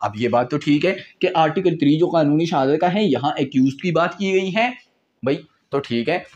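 A man's voice: a little high, moderate pace, a little mellow, highs cut off. A man in his twenties with a Pakistani accent, giving an informal, conversational law lecture sprinkled with English terms. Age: 20s. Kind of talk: informal lecture with acted-out examples. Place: small indoor room in front of a whiteboard.

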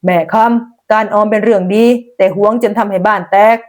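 A woman's voice: mid-range voice, steady tone, brisk, a little mellow, close to an everyday voice. A woman voicing a stern, authoritative village headman in a folk tale, formal and admonishing. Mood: firm.

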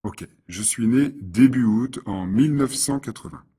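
Man, husky voice, careful pronunciation, lesson dialogue partner. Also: mid-range voice, unhurried, dark tone. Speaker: dialogue reader for French learners. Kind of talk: scripted learner dialogues.

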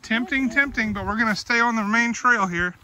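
A man's voice: very high voice, a little fast, sharp tone. A man narrating a family hiking vlog outdoors, chatty and pointing things out as he walks. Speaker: family vlogger. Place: outdoor hiking trail in a coastal park.

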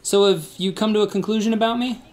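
A man acting in a church radio play, calm and gently teasing. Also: high-pitched, fast.

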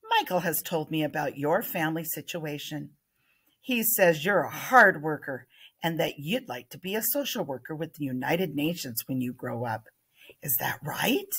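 Kind, husky female social worker, read by a woman. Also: low voice, expressive, fairly bright tone, exaggerated voice. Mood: kind, encouraging. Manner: encouraging, deliberate.

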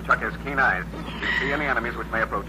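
An actor playing a steady, kindly adventure hero, reassuring and commanding in an old radio serial. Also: male voice, medium pitch, quick pace, lightly acted. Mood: assured. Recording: very noisy old radio recording.

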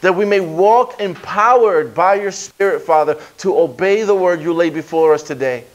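A man, a guest pastor preaching and praying in an energetic, conversational way, with stumbles and restarts, on a small church stage with a handheld microphone. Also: sits high, moderate pace, highs cut off. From Brooklyn, New York. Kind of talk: sermon with prayer and scripture reading.

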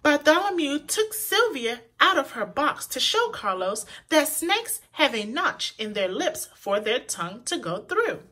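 A woman reading a children's picture book aloud in an expressive, deliberate way, as a storyteller for young listeners. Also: sits high. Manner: pauses to point out words to young listeners; animated, deliberate delivery for children.

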